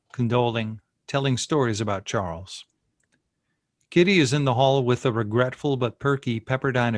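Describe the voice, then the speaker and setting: A man of medium pitch narrates screenplay directions. He reads in short, matter-of-fact phrases, recorded very dry and close. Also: no room sound. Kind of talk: scripted narration at a screenplay table read.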